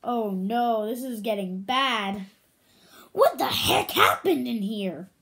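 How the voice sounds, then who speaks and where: A woman's voice: on the high side, animated, slow, creaky, fairly bright tone, exaggerated voice. A woman voicing a flustered, apologetic husband and young father in a read-aloud storybook, hesitant and given to exclaiming. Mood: alarmed.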